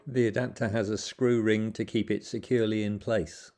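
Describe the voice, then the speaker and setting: Low, mellow man giving step-by-step setup instructions. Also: steady tone, moderate pace. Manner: plain, methodical instructions with small slips. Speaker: instructional narrator. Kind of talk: step-by-step how-to voice-over.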